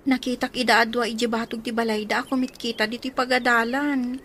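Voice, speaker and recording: A woman's voice: on the high side, level tone, fairly quick, a bit bright. A woman playing a sociable, sympathetic young woman in a radio drama. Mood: subdued, concerned.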